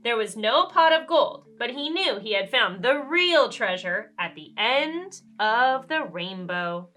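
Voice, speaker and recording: A woman reading a children's storybook aloud in an expressive way, speaking directly to young listeners. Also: fairly high pitch, takes it slowly, soft background music. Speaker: children's read-aloud host. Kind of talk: animated children's storybook narration.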